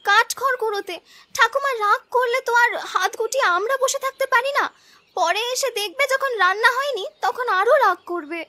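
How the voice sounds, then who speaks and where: A woman voicing a gentle, dutiful young granddaughter who speaks softly and pleadingly in an animated folk tale. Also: very high-pitched. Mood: hurt, pleading. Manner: pleading, soft-spoken, quick.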